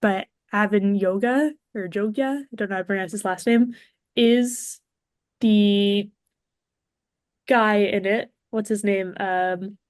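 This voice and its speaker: A woman's voice: mid-range voice, takes it slowly, dry recording, clean studio sound. A woman guesting on a podcast, chatting casually and enthusiastically about movies.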